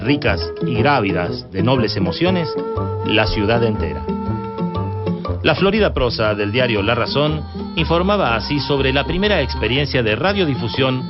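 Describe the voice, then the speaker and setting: Argentine guy narrating a documentary, very varied pitch, big music under him. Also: lively intonation, moderate pace. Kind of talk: scripted narration. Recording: dull audio under loud music. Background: loud music.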